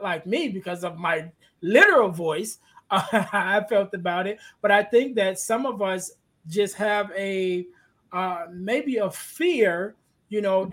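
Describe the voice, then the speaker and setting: A man with a light, soft-toned voice hosting a casual podcast conversation, speaking haltingly as he searches for words and often adds "you know.". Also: high voice. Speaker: podcast host.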